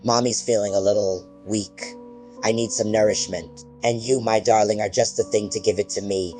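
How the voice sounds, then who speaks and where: A man's voice: fairly low pitch, steady tone, moderate pace, mellow, faint music behind, natural voice, poor audio. A man voicing a monster that poses as a mother, speaking in a wet, gurgling, menacing hiss.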